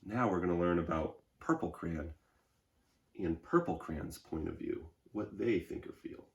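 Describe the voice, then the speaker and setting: Slow, low-pitched man reading to children. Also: creaky. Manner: simple explanatory asides to children. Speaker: teacher reading aloud. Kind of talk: children's storybook read-aloud with commentary. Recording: roomy, echoing indoor sound.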